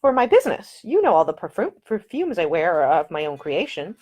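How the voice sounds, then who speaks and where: A woman's voice: fairly low pitch, sing-song, a little mellow. A woman reading as a spirited young lady perfumer. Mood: earnest, determined. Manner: brisk, earnest and self-assured. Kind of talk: audiobook character dialogue.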